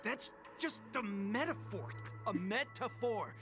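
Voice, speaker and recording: An actor playing a stubborn, exasperated guard in a video game, officious and theatrically self-important. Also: male voice, high voice, expressive, slow pace, pushed and bright, soft background music, heavily acted. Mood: angry. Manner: melodramatic, officious, swinging from formal to pleading.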